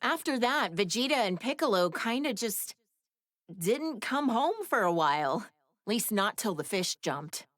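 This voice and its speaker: Here is a confident, sassy anime woman in a video game. She is breezy and frank, with a lively, swooping delivery at a slightly raised pitch. It is recorded very dry. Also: a little slow, dry recording. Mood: breezy explaining. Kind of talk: animated game voice acting.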